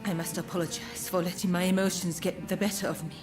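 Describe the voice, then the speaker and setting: A woman playing an earnest, devoted young woman in a game cutscene, speaking softly and hesitantly with formal politeness. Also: on the low side, flat delivery, fast, close to an everyday voice. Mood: tender, relieved. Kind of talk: dramatic video game cutscene acting. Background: music.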